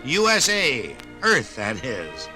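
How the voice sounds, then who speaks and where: A man's voice: mid-range voice, wildly swooping pitch, slow pace, bright, forceful tone, faint music behind. An American man announcing and narrating in a scripted 1950s radio style. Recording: vintage 1950s radio recording.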